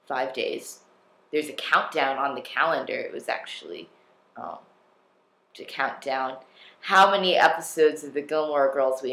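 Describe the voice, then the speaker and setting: Young man, casual vlogger, slow unhurried delivery, rambling with lots of "um" and restarts. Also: mid-range voice, gravelly, bright, forceful tone, echoey room. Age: young adult. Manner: rambling, self-deprecating, many fillers and restarts. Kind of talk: casual personal vlog.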